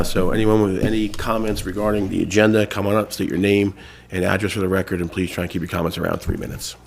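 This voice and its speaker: A man in his thirties with a congested voice, chairing a town board meeting in a casual, halting way full of fillers and restarts. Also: low voice, slight background noise. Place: town hall meeting room.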